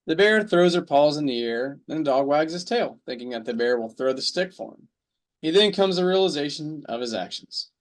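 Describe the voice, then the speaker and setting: A man's voice: fairly high pitch, lively intonation, moderate pace. A man narrating an amateur radio drama table read in a plain storybook reading style.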